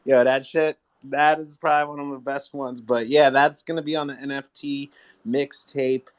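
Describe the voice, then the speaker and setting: A man's voice: mid-range voice, level tone, normal speed, smooth. A man chatting casually and enthusiastically, with plenty of slang. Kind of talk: casual chat.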